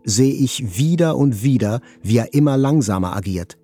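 An actor playing a wounded survivor, narrating in a measured, literary way as in a radio play. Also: male voice, mid-range voice, lively intonation, dark tone, soft background music, natural voice. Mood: grave, tense. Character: wounded male survivor narrating a terror attack, intense, inward.

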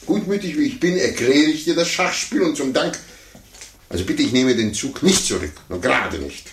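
An actor playing a pompous, condescending sore loser, stammering and blustering in a 1950s radio play. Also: male voice, mid-range voice, lively intonation, normal speed, lightly acted. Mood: flustered, indignant. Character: pompous adult man, a blustering, sore-losing chess player. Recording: roomy, noisy 1950s radio recording.

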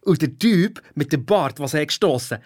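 A performer playing a cheeky, excitable schoolboy detective in a children's audio drama, telling things in slangy Swiss German-accented speech. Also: mid-range voice, normal speed, dry recording, natural voice. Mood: excited, indignant. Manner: slangy, teasing.

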